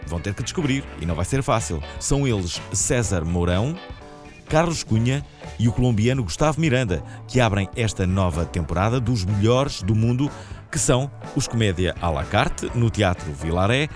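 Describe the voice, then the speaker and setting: A man's voice: fairly low pitch, lively intonation, moderate pace. A man giving scripted narration for a podcast, with a playful delivery. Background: background music.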